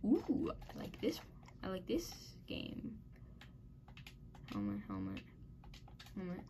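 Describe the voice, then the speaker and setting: A woman giving casual commentary while playing a game, muttering and mocking herself in frustration, a little raspy at times. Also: on the low side, wildly swooping pitch, takes it slowly, background noise. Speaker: gaming channel host.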